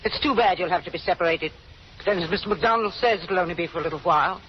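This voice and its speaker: An actress playing a kindly, chatty, sympathetic matron in old-time radio drama style. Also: female voice, fairly low pitch, fast talker, natural voice. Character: kindly, brisk female prison matron helping a bride. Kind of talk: 1930s radio drama acting. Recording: muffled, noisy old radio recording.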